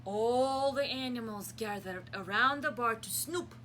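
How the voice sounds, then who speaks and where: A woman reading a picture book aloud to young children as a preschool teacher, expressively, pausing to explain simply and ask questions. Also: on the high side, a little hiss or hum. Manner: expressive, asks questions and pauses for answers.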